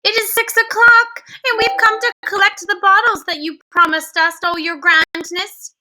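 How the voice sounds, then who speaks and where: A woman's voice: very high-pitched, fairly even intonation, moderate pace, pushed and bright, pitched above the performer's own voice, full character voice, rough recording. A woman doing meek, timid old witches. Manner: meek, pleading.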